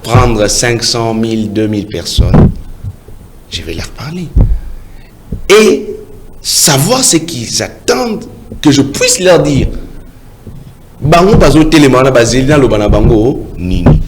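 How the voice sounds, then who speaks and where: A man's voice: mid-range voice, sing-song, measured pace, pauses often. A man with a Congolese French accent, a local council candidate speaking earnestly in an interview and exhorting voters, switching between French and Lingala. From Kinshasa, Congo. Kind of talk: campaign interview. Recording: loud background noise.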